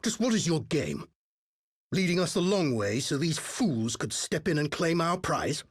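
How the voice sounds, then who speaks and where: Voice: mid-range voice, very animated, a little slow, breathy edge, dry recording, clean studio sound. An actor playing a suspicious, aggressive villain in a video game cutscene, confrontational and theatrical. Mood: angry, suspicious.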